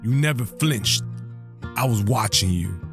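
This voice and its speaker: An actor playing a proud, tender middle-aged father in an audio drama, giving earnest, deliberate advice from a hospital bed. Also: fairly low pitch, animated. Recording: guitar music under the voice.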